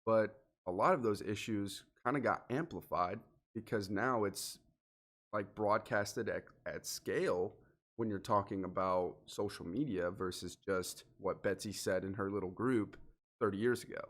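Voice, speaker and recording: Male podcast host, somewhat low pitch, sniffly from allergies, earnest and direct motivational delivery. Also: unhurried, a bit bright. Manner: earnest, direct, speaks to the listener. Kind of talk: solo motivational podcast monologue.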